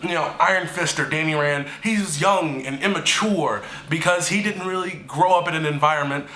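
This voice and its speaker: A young man hosting a casual review, talking in an animated, chatty way, a bit stuffy from allergies. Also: fairly high pitch, normal speed, reverberant, low-quality recording. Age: young adult. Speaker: show host, reviewer. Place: small indoor office room.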